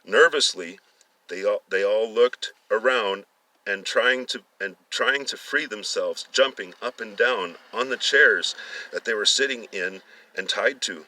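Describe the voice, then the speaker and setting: Guy reading a story out loud, lowish voice, really sharp tone, trips over his words, bit far from the mic. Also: dry recording. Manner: halting, stumbles and repeats words. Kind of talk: dramatic story reading with acted dialogue.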